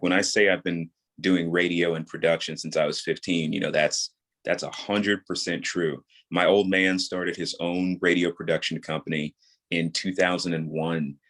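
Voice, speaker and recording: A man's voice: deep voice, a bit bright. A man in his thirties speaking as an interview guest, explaining his creative work earnestly in a conversational way. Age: 30s. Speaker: interview guest, audio drama creator and voice actor.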